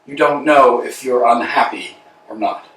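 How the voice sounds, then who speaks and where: A man's voice: medium pitch, unhurried, a bit bright, natural voice. A man playing an anxious, fussy tramp in a staged reading, firing off theatrical questions. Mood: questioning. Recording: echoey room, far from mic.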